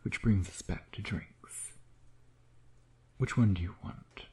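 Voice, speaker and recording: Man acting as male vampire, ASMR roleplay, low pitch, dark mellow tone, smug, flirtatious, intimate murmur, breathy asides. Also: takes it slowly. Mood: attentive, teasing. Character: teasing, smug male vampire doting on his bitten prey.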